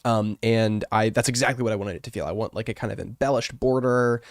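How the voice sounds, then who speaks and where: A man's voice: lowish voice, fast talker, no room sound, clean studio sound. Congested man with a cold. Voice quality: rough, wrecked voice. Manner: rambling and chatty, with many fillers. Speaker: artist and streamer. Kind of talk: casual live-stream chat.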